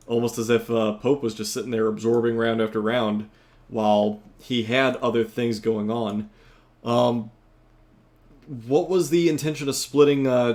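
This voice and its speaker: Male commentator, mid-range voice, measured analyst. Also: medium pitch, a little mellow. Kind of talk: post-game discussion.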